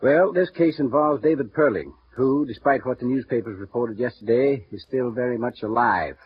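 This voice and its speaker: An actor playing a smooth, guarded male insurance executive who speaks in measured, confiding tones, in a 1950s radio drama. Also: medium pitch, close to an everyday voice. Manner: measured, confiding, halting with small pauses. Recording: muffled vintage radio broadcast with faint hiss.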